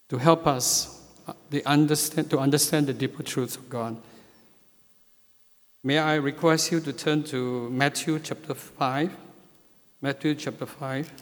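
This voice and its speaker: Slow, measured man preaching in a hall. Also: mid-range voice. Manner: slow, measured, with frequent hesitations. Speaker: church elder preaching. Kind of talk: sermon. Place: church sanctuary. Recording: long hall reverb.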